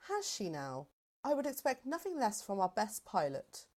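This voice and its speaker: Resolute general played by a woman, animated delivery. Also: mid-range voice, moderate pace. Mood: approving. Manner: formal, authoritative. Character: resolute, dignified starship general. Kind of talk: scripted fan audio roleplay.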